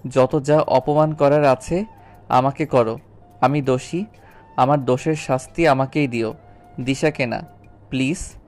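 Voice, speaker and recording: অডিও গল্পে একজন পুরুষ অভিনেতা এক তরুণ স্কুলশিক্ষক স্বামীর চরিত্রে, আন্তরিক ও অনুনয়ের সুরে প্রমিত বাংলায় কথা বলছেন।. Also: male voice, medium pitch, fairly even intonation, unhurried, long pauses, darkish tone, close to an everyday voice. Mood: pleading. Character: earnest young schoolteacher husband, apologetic and pleading. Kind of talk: audio story drama acting. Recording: background music under voice. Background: music.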